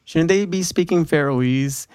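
A man's voice: a little high, unhurried, darkish tone, clean tone, no echo. A man from Southern California hosting a casual radio show in a studio, playful and teasing his guests.

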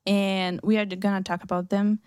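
A woman's voice: medium pitch, flat delivery, moderate pace, a little mellow, clean tone, no echo, studio quality. A woman from Brazil with a Brazilian Portuguese accent, hosting a casual faith radio chat, often laughing and restarting her sentences. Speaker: radio show host.

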